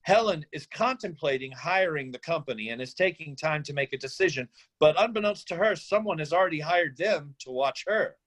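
A man's voice: a little high, lively intonation, a little fast, hardly any pauses, fairly bright tone. A man reading out stage directions and scene recaps as scripted narration for a screenplay reading.

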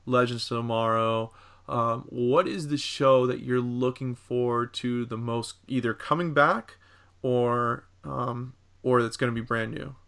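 A man co-hosting a casual podcast discussion about entertainment, talking in a halting, rambling way. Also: medium pitch, fairly even intonation, gravelly, fairly bright tone, smooth. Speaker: podcast co-host.

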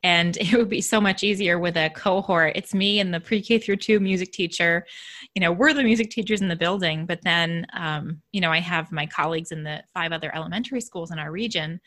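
A soft-spoken woman with a fairly low voice, chatting about her teaching in a relaxed interview. She thinks aloud as she goes, circling back on her words before landing the point. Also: a little fast. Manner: reflective, thinks aloud with restarts and light laughs. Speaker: elementary music teacher. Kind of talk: casual conversational interview.

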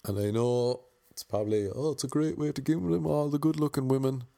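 A man's voice: mid-range voice, brisk, vocal fry, warm tone, no echo, clean studio sound. A young man with an Irish accent telling wry, self-mocking stories about his auditions in a casual monologue. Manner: wry, self-mocking, playfully imitating others.

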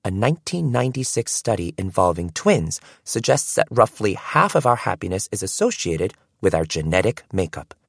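A man reading a first-person audiobook in an expressive, storytelling way. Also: lowish voice, normal speed, creaky, hardly any pauses, slightly rough, dry recording, studio quality. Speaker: audiobook narrator.

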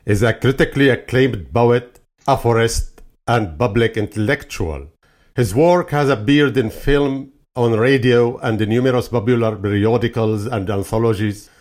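A man hosting an interview, reading a formal introduction of his guest and asking questions deliberately. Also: mid-range voice. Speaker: interview host.

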